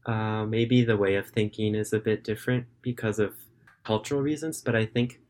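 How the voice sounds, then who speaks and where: A young man in his late teens answers interview questions over a video call. He talks in a relaxed, earnest way, often hedging with fillers. His voice sits somewhat low. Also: moderate pace. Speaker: remote English tutor and high school student.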